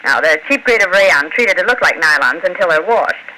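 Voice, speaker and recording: An actress playing a sharp but soft-hearted young newspaper secretary, crisp and teasing, in 1940s radio style. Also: female voice, fairly low pitch, animated, fairly quick, low-quality recording. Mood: matter-of-fact. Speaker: actor. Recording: hissy vintage radio broadcast recording.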